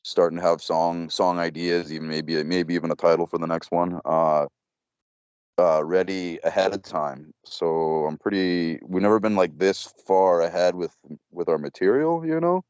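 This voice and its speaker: A man's voice: moderate pace, darkish tone, clear, resonant tone, dry recording, lo-fi sound. A young man, a metal band vocalist, giving casual interview answers with lots of fillers and restarts. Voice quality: low voice. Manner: rambling, many fillers and restarts.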